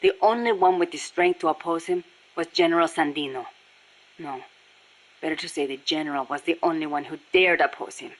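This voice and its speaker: A woman's voice: low-pitched, a little fast, low-quality recording. A woman voicing a passionate, embittered Nicaraguan Sandinista guerrilla with a Spanish accent in a video game, speaking in a solemn, earnest way. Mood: grave. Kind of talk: scripted video game voice acting.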